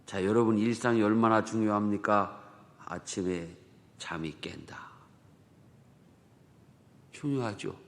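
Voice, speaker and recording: A man's voice: on the low side, steady tone, slow pace, pauses often, fairly bright tone. A male pastor with a Chungcheong Korean accent preaching a dawn prayer sermon in a conversational manner, full of rhetorical questions and dialect jokes. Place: church sanctuary. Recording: long church hall reverb.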